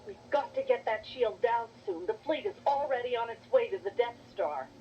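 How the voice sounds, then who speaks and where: A woman's voice: a little high, animated, normal speed, a little hiss or hum, natural voice. A woman playing a young rebel leader in a children's read-along adventure, speaking urgently and emphatically. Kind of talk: children's read-along audio drama acting. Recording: muffled distorted toy cassette, loud music behind.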